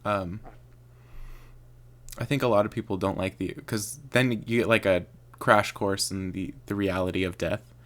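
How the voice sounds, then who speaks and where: A man's voice: low voice, fairly even intonation, moderate pace, a bit bright. A man hosting a podcast, asking casual, loosely phrased questions with many fillers. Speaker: podcast host.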